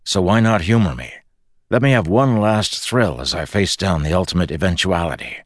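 Audiobook rogue, read by a male narrator: cocky, determined crew boss, brisk and insistent, wry edge, very dry recording. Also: deep voice, big pitch swings, dry recording. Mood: urgent, persuasive. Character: cocky, determined, irreverent dead man, crew leader.

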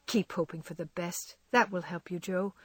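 A woman voicing a kind, loyal young man who talks gently and often drops to a whisper. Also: fairly low pitch, moderate pace, slightly rough, no echo. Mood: reassuring.

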